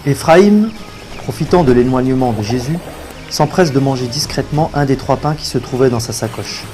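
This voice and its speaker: A man's voice: mid-range voice, normal speed, a little mellow, a little hiss or hum. A man narrating a religious cartoon tale in a formal, scripted storytelling manner. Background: music.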